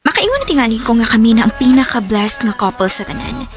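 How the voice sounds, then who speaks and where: Radio drama casting: tender, emotional young wife, high-pitched, Cebuano accent, music underneath. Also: female voice, sing-song, fast talker. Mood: sincere narrating.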